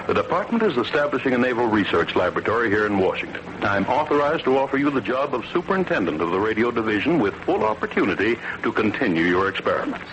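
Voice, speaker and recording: An actor playing a Navy admiral who speaks in a measured, official manner in a 1940s radio drama. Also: male voice, mid-range voice, sing-song, a bit bright. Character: adult male Navy admiral, stern then approving. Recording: dull old radio broadcast with hiss.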